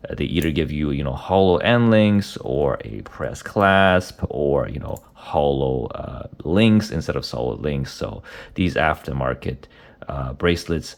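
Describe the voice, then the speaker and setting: A man with a cold, his voice deep and raspy, casually reviewing watch parts with many hesitant fillers. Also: lively intonation, measured pace. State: hoarse from a cold.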